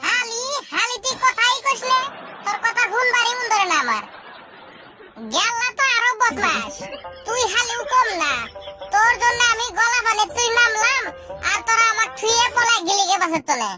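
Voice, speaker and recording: A woman's voice: extremely high pitch, animated, normal speed. A woman voicing a small, quarrelsome, grumbling schoolboy in a comedy cartoon. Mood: aggrieved. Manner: grumbling, indignant. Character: squeaky, aggrieved, quarrelsome little schoolboy. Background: loud music.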